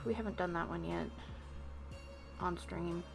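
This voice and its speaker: A woman's voice: fairly low pitch, fairly even intonation, a little slow, vocal fry. A woman livestreamer chatting casually to viewers in a rambling, self-deprecating way, with music behind her. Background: background music.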